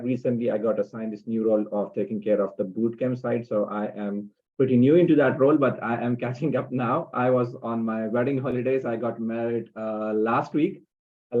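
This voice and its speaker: A man from Pakistan, introducing himself as a virtual assistant and listing his tasks in a matter-of-fact way. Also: mid-range voice. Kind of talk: self-introduction. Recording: tinny phone-like line.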